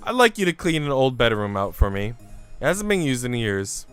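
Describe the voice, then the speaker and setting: A man's voice: medium pitch, big pitch swings, normal speed, a bit bright, clear, resonant tone, soft background music. A man voicing a cruel, haughty young noble lady in a visual novel, imperious and taunting.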